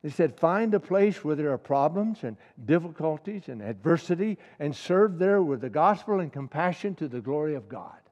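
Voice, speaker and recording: A man's voice: on the high side, expressive, moderate pace, hardly any pauses, darkish tone, big-room sound, highs cut off. A middle-aged man preaching a sermon with passion, repeating key lines and building to emphatic peaks.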